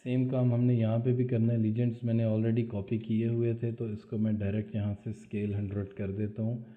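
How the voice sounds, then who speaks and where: A man's voice: fairly low pitch, little pitch movement, brisk. A man, explaining drawing steps aloud as he works through a screen-recorded CAD tutorial. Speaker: CAD drafting instructor. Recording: tinny phone-like audio.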